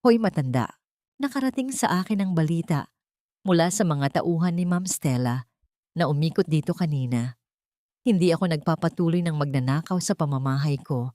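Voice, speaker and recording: A woman with a Filipino accent voicing an angry, gruff, threatening male landlord in a story. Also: deep voice, lively intonation, moderate pace, warm tone, no echo, studio quality.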